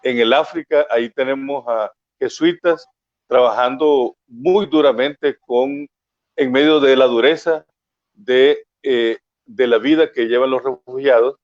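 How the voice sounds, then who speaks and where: A man hosting a radio interview program, speaking with many pauses and filler sounds. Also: mid-range voice, no room sound, muffled recording.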